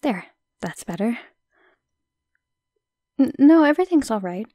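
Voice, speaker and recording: A young woman playing a shy, friendly, modest minor goddess in an audio roleplay, chatting hesitantly with soft asides. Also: on the high side, big pitch swings, unhurried, dry recording, clean studio sound. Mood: helpful, friendly.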